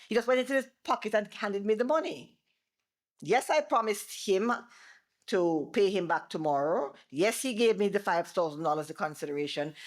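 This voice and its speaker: A middle-aged Jamaican woman, an attorney, giving a loud, animated seminar presentation with worked examples to a live audience on a microphone. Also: medium pitch. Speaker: attorney and former bar president giving a seminar. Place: seminar room before an audience. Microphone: microphone she moves away from.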